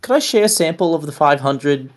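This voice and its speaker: A man's voice: fairly high pitch, expressive, a little fast, close to an everyday voice. A man playing a chummy, rambling mercenary in a tabletop roleplay, stammering, repeating himself and talking in a matey way. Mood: hesitant, bargaining.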